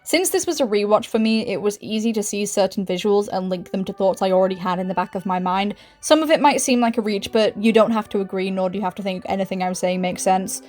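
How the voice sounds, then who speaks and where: A woman's voice: mid-range voice, quick pace, hardly any pauses, darkish tone. A woman narrating a scripted video essay as its host, with casual, self-deprecating asides in an informal, chatty way.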